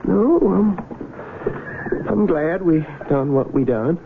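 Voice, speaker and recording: An actor playing a wary young Civil War recruit with a plainspoken, questioning drawl, heard on a tinny, noisy old radio broadcast. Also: male voice, sits high, animated, extremely slow, creaky, exaggerated voice. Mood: troubled. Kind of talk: 1970s radio drama acting.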